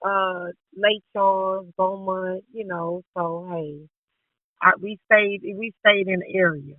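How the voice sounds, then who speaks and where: A woman's voice: mid-range voice, fairly even intonation, slow pace, no room sound. A woman singer from southeast Texas, telling her story as a radio guest. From southeast Texas and Louisiana. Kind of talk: phone-in interview. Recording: tinny phone line.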